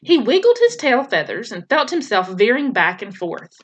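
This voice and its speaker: Lady teacher reading a kids book out loud, lively up-and-down voice, trips on a word now and then. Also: on the high side, normal speed. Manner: expressive storytelling with occasional slips and restarts. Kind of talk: reading a children's novel aloud.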